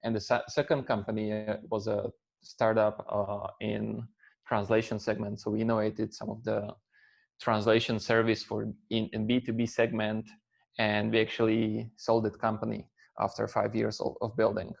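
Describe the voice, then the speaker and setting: A man in his thirties from Slovakia, an English speaker, talking as an interview guest in a halting way with many fillers and restarts. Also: fairly low pitch, completely flat delivery, gravelly. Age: 30s. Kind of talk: conversational interview.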